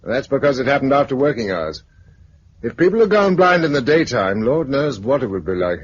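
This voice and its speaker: A man's voice: mid-range voice, very animated, brisk, husky. A man in his twenties with a British accent playing a practical, laconic young Englishman in a 1950s radio drama. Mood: practical, instructive. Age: 20s. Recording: muffled, hissy 1950s radio recording.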